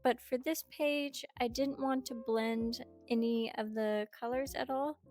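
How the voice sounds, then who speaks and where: A young woman who is a little sick, gently narrating her art process in a chatty, upbeat way. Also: a little high, steady tone, measured pace, soft background music. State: slightly sick. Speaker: art vlogger. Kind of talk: relaxed art tutorial narration.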